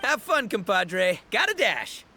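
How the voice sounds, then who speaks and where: Casting: boastful rock-and-roll gang leader for a game, lively swooping delivery, casual and slangy. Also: male voice, sits high, measured pace, faint music behind, heavily acted. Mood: breezy, cheerful. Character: brash, cheerful young male gang boss, rock-and-roll fan. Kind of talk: anime game voice acting.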